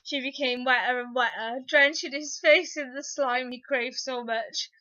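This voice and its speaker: High, bright-voiced woman reading theatrically. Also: steady tone, measured pace, highs cut off. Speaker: narrator. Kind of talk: comic dramatic reading of fan fiction.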